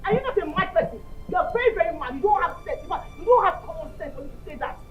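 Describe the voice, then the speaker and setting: A woman with a Nigerian accent playing a frantic young woman who keeps insisting about vanished money, repeating herself loudly. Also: extremely high pitch, animated, fast talker, dull audio, faint music behind. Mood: scornful. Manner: repetitive, insistent, shouty. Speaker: woman claiming missing money.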